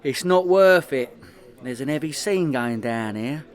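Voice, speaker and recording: A man's voice: mid-range voice, lively intonation, measured pace, lightly acted. A man with a London accent playing a cocky, deluded young petty criminal in a comic radio play, bragging in matter-of-fact slang. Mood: cocky, evasive.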